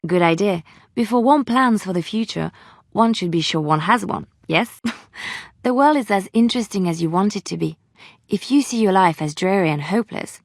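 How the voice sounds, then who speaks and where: Game casting: woman as wistful, resilient young female bard, tender measured delivery, close dry sound. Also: mid-range voice, darkish tone, no echo, lightly acted. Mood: hopeful, thoughtful, then tender, earnest. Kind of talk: video game character dialogue.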